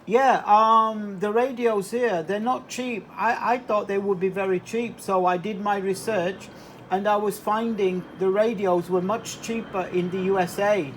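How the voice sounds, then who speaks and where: A man's voice: sits high, fairly even intonation, unhurried. Guy on ham radio, casual hesitant chat, repeats himself, bit of static. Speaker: amateur radio operator. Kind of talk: casual two-way radio chat with operator jargon. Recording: slightly noisy two-way radio transmission.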